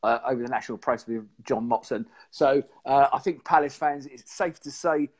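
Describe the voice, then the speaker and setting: A man, hoarse and croaky, hosting a casual live stream show and chatting in a rambling way. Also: medium pitch, normal speed. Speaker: podcast host.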